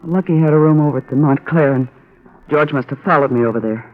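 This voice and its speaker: An actress playing an evasive American woman mixed up in a crime in a 1950s radio drama, halting and insistent. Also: sits low, lightly acted. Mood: wary, reluctant. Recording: dull, muffled old radio recording.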